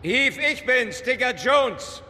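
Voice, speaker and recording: An actor playing a protective, controlled police detective in a crime radio drama. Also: male voice, very high-pitched, animated, takes it slowly, pushed and bright, full character voice. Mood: concerned, reassuring.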